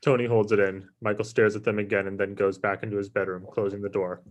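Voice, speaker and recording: Male narrator for screenplay table read: lowish pitch, very flat delivery, matter-of-fact. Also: fast, dull audio. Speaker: stage-direction reader.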